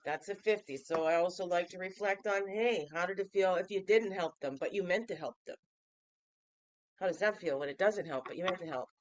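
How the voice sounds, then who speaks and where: A woman's voice: lowish voice, a little fast, dry recording, low-quality recording. A woman in her fifties, nasal and stuffy from a cold, hosting a daily reflection vlog in a playful, chatty way and asking the listener questions. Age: 50s. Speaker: host of a daily reflection show. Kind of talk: casual reflective vlog talk.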